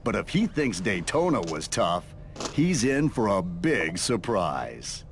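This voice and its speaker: A man's voice: lowish voice, very animated, takes it slowly, slight background noise, exaggerated voice. A man delivering scripted TV-style narration for a video game.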